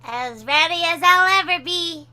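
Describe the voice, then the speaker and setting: A woman voicing a plucky, cocky little girl racer in an animated film table read. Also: extremely high pitch, measured pace, heavily acted. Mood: confident.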